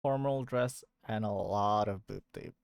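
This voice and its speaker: A woman's voice: a bass voice, measured pace, gravelly, a little mellow, clear, resonant tone, no echo. A woman livestreaming a game in casual chat, rambling, swearing freely and reacting with disbelief. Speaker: livestreamer playing a video game. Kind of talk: casual live chat and commentary. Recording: game music behind the voice.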